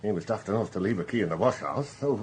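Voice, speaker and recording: An actor playing a touchy, plain-spoken husband in a radio drama, with a blunt, colloquial way of talking. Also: male voice, fairly low pitch, expressive, quick pace, darkish tone, close to an everyday voice. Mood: coaxing. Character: small, touchy, blunt husband, tender to his wife.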